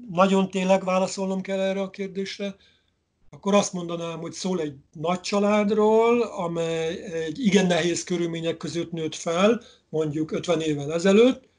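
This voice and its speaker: Slow musing older man on a video call. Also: high-pitched, darkish tone. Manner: slow, musing, searching for words. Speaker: novelist being interviewed. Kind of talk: reflective interview answers. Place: indoor room at home. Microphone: webcam on a video call.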